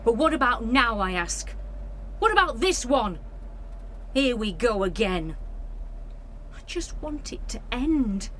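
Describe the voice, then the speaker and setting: Woman as a young 1940s daughter, radio play letter, earnest, highish voice, faint background noise. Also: very animated, slow pace, long pauses, fairly bright tone, heavily acted. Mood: worried, weary. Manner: earnest, heartfelt. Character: affectionate, worried young wartime daughter writing home.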